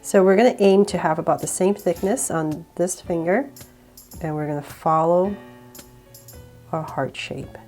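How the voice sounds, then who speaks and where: A woman with a cold guiding viewers step by step through a drawing, relaxed and laughing a little at her own slips. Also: lowish voice, measured pace, pauses often, soft background music. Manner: relaxed, with small laughs and self-corrections. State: sick, voice a bit off. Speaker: drawing tutorial host.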